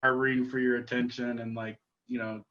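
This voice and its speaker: A man interviewing a guest in a relaxed, agreeable way, talking loosely with lots of fillers. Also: mid-range voice, brisk, muffled recording. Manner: relaxed, agreeable, many fillers and short backchannels. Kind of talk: casual interview chat.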